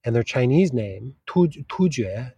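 A man's voice: medium pitch, expressive, unhurried, dark tone, slightly rough, dry recording, highs cut off. A man who works as a literary translator, talking in a casual interview, thoughtful and often searching for the right words mid-sentence.

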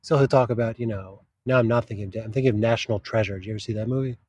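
A man's voice: on the low side, racing, a little mellow, slightly rough, no room sound. A man who works as a literary translator, talking in a casual interview, thoughtful and often searching for the right words mid-sentence.

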